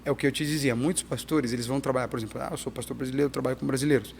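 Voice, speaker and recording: A middle-aged missionary pastor, talking animatedly as a guest in an interview, with frequent restarts. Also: male voice, medium pitch, rapid-fire, creaky, slight background noise. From Peru and Brazil. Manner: animated, emphatic, restarts often. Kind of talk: conversational interview.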